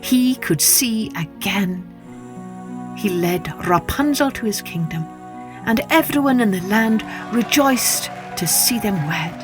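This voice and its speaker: A woman narrating a scripted children's story as a podcast host, with expressive, deliberate delivery. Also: mid-range voice, over music.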